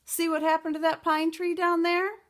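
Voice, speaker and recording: A woman's voice: extremely high pitch, level tone, pitched above the performer's own voice. A woman voicing a clever, brave little girl in a storybook, speaking up boldly and politely. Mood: bold, confident. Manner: loud, bold, deliberate.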